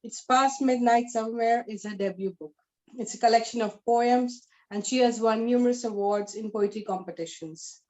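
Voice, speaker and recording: A woman's voice: fairly high pitch, moderate pace, a little mellow, poor audio. A woman hosting an author interview, friendly and eager, with hesitant fillers. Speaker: host. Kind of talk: casual interview.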